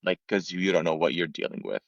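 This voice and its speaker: Deep-voiced man, casual and reflective. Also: a little fast, vocal fry, no echo, lo-fi sound. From Lebanon. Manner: relaxed and reflective, many "like" fillers. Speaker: podcast guest sharing travel stories. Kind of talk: casual conversation.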